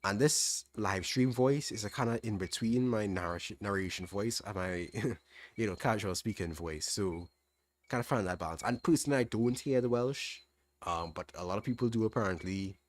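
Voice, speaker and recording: Low-voiced Trinidadian man, relaxed livestream chat. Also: normal speed. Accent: Trinidadian, fairly standard. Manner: relaxed, thinking aloud with restarts and soft laughs. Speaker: livestream host answering chat. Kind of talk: casual livestream Q&A.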